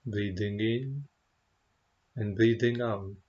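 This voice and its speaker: A man leading a guided meditation as a teacher, speaking gently and contemplatively, with unhurried pauses between short phrases. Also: fairly low pitch, muffled recording. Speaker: meditation teacher, Buddhist monk.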